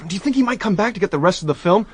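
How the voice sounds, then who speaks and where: Brash excitable young diplomat, slightly raised pitch, animated. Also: male voice, rapid-fire. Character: young American man, brash diplomat nephew. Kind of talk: radio drama acting.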